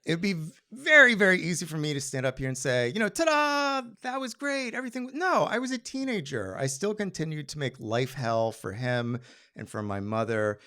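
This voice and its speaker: A man telling a personal story on stage in an animated, conversational way with comic timing. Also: a little high, normal speed. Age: adult.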